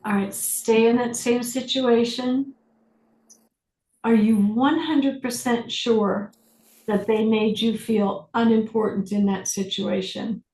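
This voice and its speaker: A woman leads an online group through a reflective exercise, her voice sitting fairly high. She prompts slowly and deliberately. The sound carries a noticeable room echo. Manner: calm, slow, deliberate prompting. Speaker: facilitator. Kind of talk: guided inquiry on a group video call.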